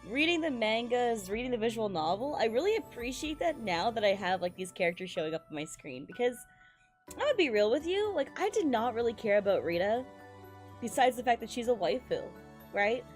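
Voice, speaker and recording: A woman streaming a game with casual, excited commentary, slangy and sweary in an expressive way. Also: on the high side, a little fast, faint music behind.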